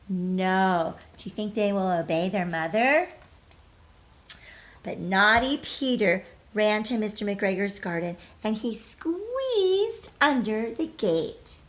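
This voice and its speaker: A woman teacher reading a storybook aloud to children, speaking to them directly and expressively, with emphasis on key words. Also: mid-range voice. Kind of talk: children's storybook read-aloud with questions to young listeners.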